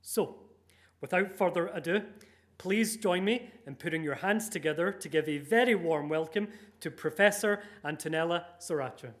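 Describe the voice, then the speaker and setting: A man's voice: a little high, normal speed. A man hosting a public lecture, giving a formal, upbeat welcome and introducing the speaker in an announcer-like way. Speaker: event host. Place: lecture room with audience.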